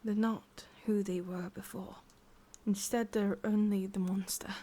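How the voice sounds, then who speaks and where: A woman with an English accent voicing a sorrowful, obsessive witch in an intimate ASMR roleplay, speaking softly in halting, confiding whispers. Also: mid-range voice, level tone, lightly acted. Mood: solemn, warning. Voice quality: soft, breathy, mostly whispered. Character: sorrowful, obsessive female witch, tender yet menacing.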